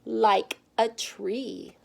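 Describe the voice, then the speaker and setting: Storybook role: stubborn young schoolgirl voiced by a woman, opinionated, emphatic, higher-pitched. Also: steady tone, drawn-out words, vocal fry, warm tone, exaggerated voice. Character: stubborn, defiant little schoolgirl with strong opinions.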